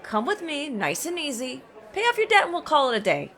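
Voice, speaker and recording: Actress doing a tough sarcastic bounty hunter woman, high bright voice, really animated, audio drama. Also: a little fast, a faint crowd, full character voice. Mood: coolly menacing. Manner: sarcastic, blunt, teasing. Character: tough, cocky, sardonic female mercenary-turned-bounty hunter.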